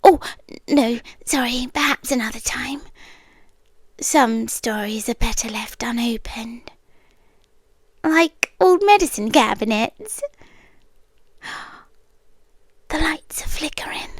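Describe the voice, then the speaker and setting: A British woman playing a tender, eerie, possessive doctor in a whispered ASMR roleplay, speaking softly and often dropping into a whisper. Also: on the high side, no room sound. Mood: hushed, wistful. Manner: soft, hushed, unhurried, often whispering. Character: eerie, tender, possessive female doctor haunting an empty hospital.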